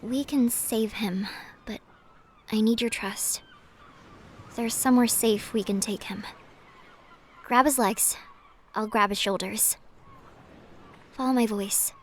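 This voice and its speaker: A woman playing a gentle, mysterious siren in an audio roleplay, guarded and wistful, speaking softly and with measured care, often slipping into a breathy whisper. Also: fairly high pitch, fairly even intonation, slight background noise. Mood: calm, urgent. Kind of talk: cinematic audio roleplay acting.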